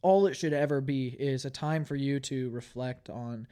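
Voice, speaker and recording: A man's voice: mid-range voice, warm tone, smooth. A man, a podcast co-host, sharing thoughtful, hedged opinions in a casual group chat. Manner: measured, thoughtful, hedging opinions.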